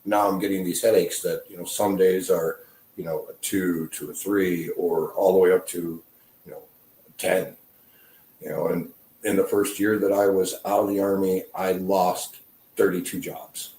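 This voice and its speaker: A man's voice: low-pitched, level tone, normal speed, darkish tone, reverberant, rough recording. A man in his fifties, a veteran guest talking casually in a podcast with a rambling, self-deprecating manner and many fillers.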